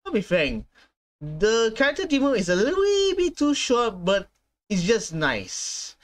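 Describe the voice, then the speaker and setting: A man in his twenties hosting a casual gaming reaction, halting and repeating himself as he searches for words. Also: sits high, huge pitch swings. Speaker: gaming reaction video host. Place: small room at home, indoors.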